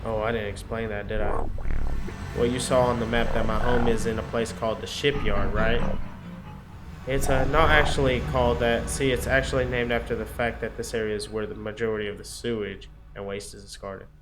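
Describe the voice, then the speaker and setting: A man with a mid-pitched voice narrates a game as host of a video. He moves between storybook reading and casual, wry commentary, with loud music behind him. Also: steady tone, brisk. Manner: reads lines aloud, drops into wry commentary.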